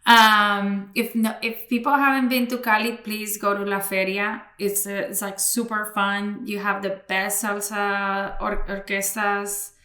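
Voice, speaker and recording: A Colombian-born woman in her forties with an American accent, chatting as a podcast guest, quick to laugh and full of restarts. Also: medium pitch, flat delivery, sharp tone. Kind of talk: casual interview chat. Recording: echoey room.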